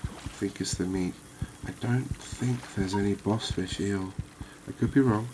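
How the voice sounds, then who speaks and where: A man giving calm, unhurried, soft-spoken ASMR gameplay commentary, musing aloud as he plays. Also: on the low side, noisy background. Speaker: gaming video host.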